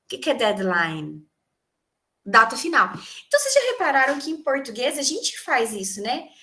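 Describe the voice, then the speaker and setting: A woman's voice: sits high, big pitch swings, moderate pace, sharp tone. A woman English teacher from Brazil giving an online class on a video call, explaining terms and quizzing her students. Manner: explanatory, asks students questions and answers them.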